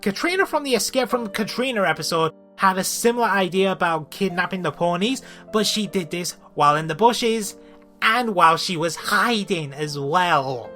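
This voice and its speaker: Bright, animated male reviewer. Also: high voice, normal speed, faint music behind. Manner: exasperated, sarcastic. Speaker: video reviewer and host. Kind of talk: scripted cartoon review with comic rants.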